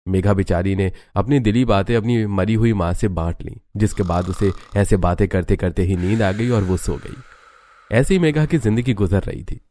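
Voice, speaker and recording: A man narrating a scripted animated family story as a storyteller. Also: on the low side, slight background noise.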